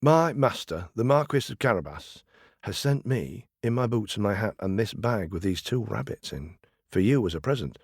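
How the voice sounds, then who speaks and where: Storybook tomcat, scheming and bossy, read by a man, breathy and dry. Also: fairly low pitch, animated, no echo. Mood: polite. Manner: brisk, bossy, conspiratorial. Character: clever scheming talking male cat.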